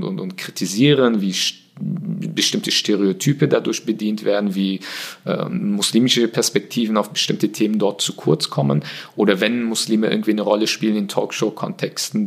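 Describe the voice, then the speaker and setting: Man, deep-ish voice, gravelly, earnest, measured, hesitant fillers, interview answers. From Germany.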